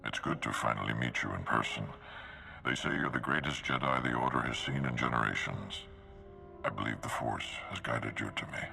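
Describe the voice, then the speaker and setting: An extremely deep, heavily gravelly male Jedi master. He is commanding and idealistic in a forceful game-cutscene delivery, over a faint rumble. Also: a little fast, in a large hall, soft background music, heavily acted. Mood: confident, grand. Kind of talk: video game cutscene acting.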